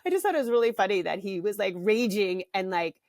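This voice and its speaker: A woman's voice: mid-range voice, expressive, quick pace, a little mellow, dry recording, clean studio sound. A middle-aged woman hosting her own podcast in a candid, chatty way, laughing easily and with a slight cough. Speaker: podcast host and parenting author. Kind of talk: casual solo podcast chat.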